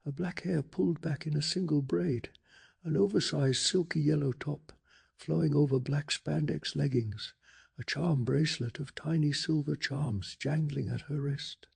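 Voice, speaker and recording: Male ASMR narrator, hushed and deliberate, extremely husky and crackly, for scripted bedtime stories. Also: on the high side, steady tone. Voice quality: breathy, gravelly, hushed. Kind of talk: soft-spoken ASMR scripted story narration.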